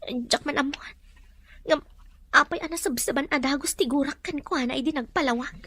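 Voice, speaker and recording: A woman's voice: sits high, brisk, pushed and bright, no room sound. A woman playing a modest, devoted young mother in an Ilocano drama, speaking in a hesitant, wary way. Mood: doubtful, shy. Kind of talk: Ilocano radio-style drama acting.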